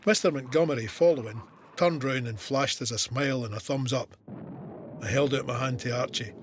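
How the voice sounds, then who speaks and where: An actor with a Scottish accent playing a soldier who narrates his war memoir in a measured, vivid, plain-spoken way. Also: medium pitch, slight background noise, close to an everyday voice. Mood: warm, reflective. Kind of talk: scripted first-person war memoir narration.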